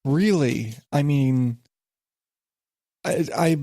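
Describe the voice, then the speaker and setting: Male podcast host, congested, rambling and exasperated, mid-pitched, dry booth sound. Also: extremely slow, warm tone, no echo. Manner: rambling, exasperated, many restarts. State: stuffed up with a sinus infection. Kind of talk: casual solo podcast.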